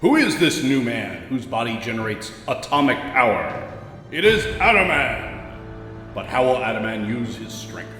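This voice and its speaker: An echoey recording of a male narrator with a medium-pitched voice. He delivers scripted serial narration in a theatrical, portentous way. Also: very animated, moderate pace, fairly bright tone, faint music behind. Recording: echoey reverb.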